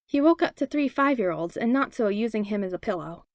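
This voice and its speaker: A woman's voice: fairly high pitch, animated, brisk, dry recording, clean studio sound. A woman reading a story aloud as scripted narration. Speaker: podfic reader.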